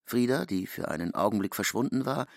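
A man with a gravelly, husky voice narrating a literary audio drama. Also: medium pitch, lively intonation, a little fast, dry recording, studio quality.

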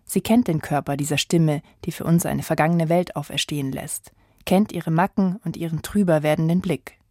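A woman reads a scripted radio feature in a measured, reflective way. Her voice sits very low for a woman. The studio sound is close and dry, with no room around her. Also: steady tone, mellow, no room sound. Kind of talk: scripted narration.

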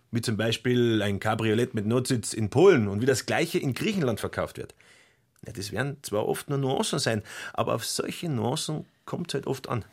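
An actor playing a self-important, opinionated young salesman with a Bavarian accent in a radio play. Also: mid-range voice. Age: young adult.

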